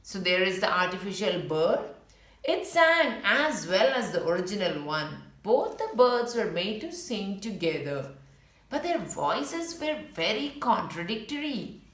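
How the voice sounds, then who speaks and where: A woman's voice: mid-range voice, sing-song, measured pace, a bit bright. A woman reading a children's picture book aloud as a storyteller, adding short asides about the pictures. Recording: roomy, echoing indoor sound.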